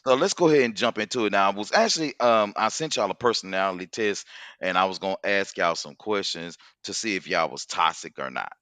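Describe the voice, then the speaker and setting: A man's voice: low-pitched, animated, brisk, fairly bright tone, no echo. A man hosting a casual podcast chat, talking in a loose, rambling way and swearing freely. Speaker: podcast host.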